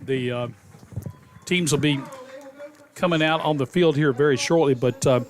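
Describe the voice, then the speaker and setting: A man doing color commentary on a high school football radio broadcast from a stadium press box, folksy and rambling with many fillers. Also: moderate pace, slight background noise.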